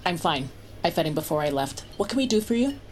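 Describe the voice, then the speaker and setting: A woman's voice: on the low side, sing-song, fast talker, a little hiss or hum. An actress playing a guarded, troubled mother in her thirties, hesitant and secretive, in an audio drama. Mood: guarded, curt. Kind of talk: audio drama acting and first-person narration. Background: music.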